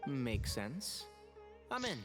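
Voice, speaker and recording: Lively mild young academic. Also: male voice, medium pitch, very slow, heavily acted. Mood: mild, polite. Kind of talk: anime game voice acting. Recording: game music behind the voice.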